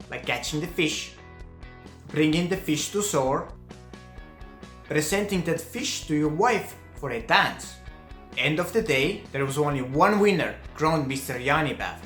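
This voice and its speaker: A man with an Estonian accent, a native Estonian speaker, narrating his own vlog in earnest, slightly halting English. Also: on the high side, a bit bright, roomy sound. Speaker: vlogger.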